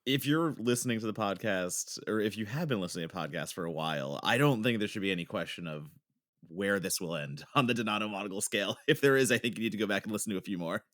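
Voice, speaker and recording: Deep-voiced, rambling male podcast co-host. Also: lively intonation, fast, fairly bright tone, dry recording, studio quality. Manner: enthusiastic, rambling. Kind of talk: casual podcast chat.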